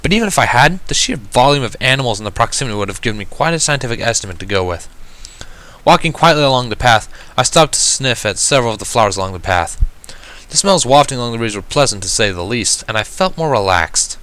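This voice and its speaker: A man's voice: mid-range voice, expressive, fast talker, pushed and bright. A man reading a story aloud as a first-person narrator. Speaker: fan fiction reader.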